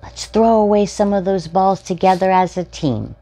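A woman's voice: mid-range voice, normal speed, dark tone, pitched above the performer's own voice, lightly acted. A woman voicing an understanding, encouraging father talking to his small child in a storybook.

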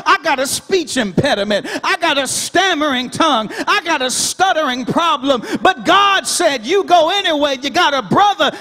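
A man's voice: very high-pitched, pushed and bright. An American man in his thirties preaching a fervent Baptist sermon with an emphatic, repetitive cadence into a handheld microphone. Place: large church sanctuary, indoors. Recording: reverberant hall, amplified PA.

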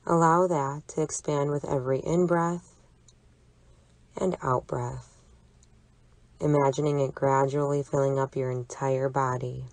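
A woman leading a guided imagery relaxation exercise, speaking in a soothing, deliberate, gently instructive way. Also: a bass voice, steady tone, no echo, poor audio. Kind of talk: guided imagery meditation narration.

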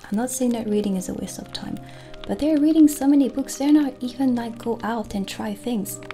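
A woman in an ASMR roleplay playing a gentle, friendly witch who keeps a potion shop, speaking softly and soothingly without hurry. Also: a little high, natural voice. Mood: reflective. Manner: soft, unhurried, soothing. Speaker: ASMR performer. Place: small dim candlelit room, indoors. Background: soft music.

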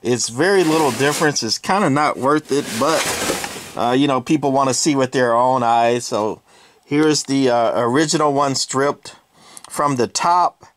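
A man's voice: mid-range voice, measured pace, fairly bright tone, slight background noise. A man casually walking through vintage radio gear in a workshop, rambling with lots of fillers and hedges. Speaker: radio collector. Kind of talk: casual hands-on walkthrough. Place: cluttered workshop with shelving.

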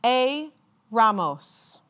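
A woman reads out names as a commencement announcer, in a formal ceremonial style with measured, deliberate enunciation and pauses. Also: a little high, very animated, sharp tone.

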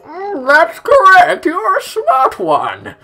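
A man's voice: very high-pitched, wildly swooping pitch, bright, forceful tone, soft background music, pitched above the performer's own voice, exaggerated voice. A man voicing an eccentric, self-satisfied old man in a video game, drawing out his words pompously. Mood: pompous, instructive. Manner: pompous, drawn-out.

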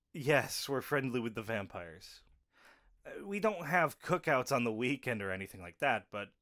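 Practical mid-pitched werewolf man played by an actor. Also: fairly bright tone. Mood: amused. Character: practical, level-headed male werewolf of the pack. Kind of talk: audio drama acting.

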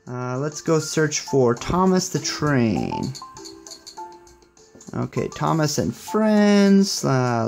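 A man's voice: on the high side, gravelly, darkish tone. Casual upbeat man demoing tech over music. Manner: upbeat, approving. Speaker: tech reviewer. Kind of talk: casual hands-on demo.

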